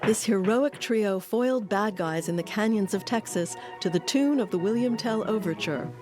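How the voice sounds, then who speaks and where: A woman narrates a documentary in a scripted style, pitched in the middle range for a woman. Faint music plays under her voice. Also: lively intonation, normal speed.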